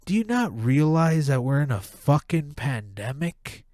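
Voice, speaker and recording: A man with a blocked, nasal voice rants heatedly, swearing as he goes. He talks at an unhurried, drawn-out pace. The sound is close and dry, with no room echo. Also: fairly high pitch, big pitch swings, dark tone. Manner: profane, heated ranting. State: stuffed-up nose, nasal. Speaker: podcast host. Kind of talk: casual ranting monologue.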